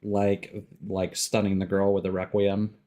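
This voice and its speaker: A man's voice: sits low, completely flat delivery, unhurried, mellow. A man doing casual live game commentary, rambling and thinking aloud as he plays. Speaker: game streamer.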